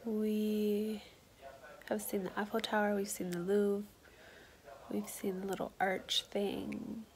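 A woman's voice: mid-range voice, monotone, slow pace, darkish tone. A young American woman in a casual, slangy video diary, talking into a phone at arm's length. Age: young adult. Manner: slangy, chatty. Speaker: travel vlogger.

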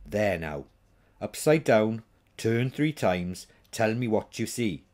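A practical, sharp-tongued witch woman from a storybook , voiced by a man. She is blunt and teasing, with a dry wit and a very lively intonation. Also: lowish voice, slow. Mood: brisk. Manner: blunt, wry, no-nonsense.

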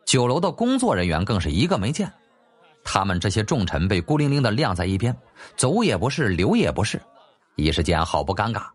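一位男演播者以戏剧化的方式讲述历史小说，是照稿演播的有声书旁白。. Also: male voice, lowish voice, big pitch swings, a little fast. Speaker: audiobook narrator. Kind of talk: dramatic scripted narration of a historical novel.

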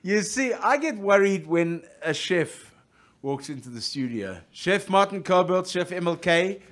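A middle-aged man with a South African accent runs a radio interview. He is jokey and lively and stumbles through restarts. His voice sits a bit high for a man. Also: a little slow. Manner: jokey, lively, restarts a lot, plugs the station. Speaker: radio host.

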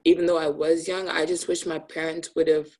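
A teenage girl from Ghana talking earnestly on a video call from a small room, with frequent restarts. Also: low-pitched. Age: teens. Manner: earnest, animated, quick restarts. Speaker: guest. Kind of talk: casual video call chat. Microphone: phone at arm's length on video call.

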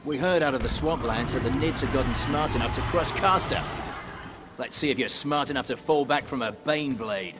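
An actor playing a cocky, taunting tank commander in a video game, giving orders. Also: male voice, mid-range voice, fairly quick, very noisy, close to an everyday voice.

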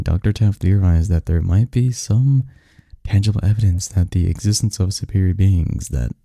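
A man playing a snarky, cynical research assistant in an intimate ASMR audio roleplay, wry and halting, with a bookish streak. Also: sits low, gravelly, dark tone, no echo, studio quality, exaggerated voice. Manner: wry, halting and chuckling, drifts into bookish lecturing. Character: snarky, cynical male research assistant in classics, nerdy, fond.

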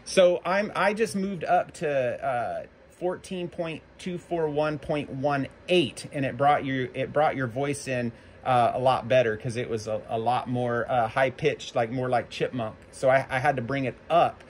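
An American man chatting casually as a ham radio operator and video host, halting and informal. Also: medium pitch. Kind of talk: casual ham radio chat and vlog narration. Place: covered backyard patio, outdoors. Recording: faint outdoor background noise.

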